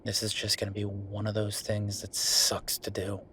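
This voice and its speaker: A tired werewolf boyfriend acted out by a man speaks in a lowish voice over noticeable background ambience. He is frustrated yet affectionate, talking in a natural, intimate, world-weary way, as in a roleplay audio drama. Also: completely flat delivery, moderate pace, pushed and bright. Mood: resigned, determined. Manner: conversational, sighing, world-weary. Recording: clear background noise.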